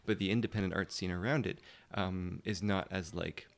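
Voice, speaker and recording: A man with a fairly low voice talks in a casual panel discussion. He is careful and diplomatic, often hesitating and rewording as he searches for the right phrase. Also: steady tone, moderate pace, gravelly. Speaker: theatre guest.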